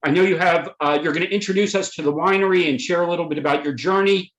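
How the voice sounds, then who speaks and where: A man hosting a webinar, introducing the panelists in a halting way, with many fillers and restarts, over a video call. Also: a little high, a bit bright, lo-fi sound. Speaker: webinar host and moderator. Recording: echoey room audio.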